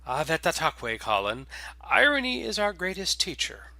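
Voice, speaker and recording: Man playing a courtly, wary gentleman doctor. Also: fairly high pitch, big pitch swings, normal speed, gravelly, no echo, voice pushed up, exaggerated voice. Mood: wry, resigned. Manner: courtly, hushed. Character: well-dressed, wary gentleman doctor with courtly, erudite manners.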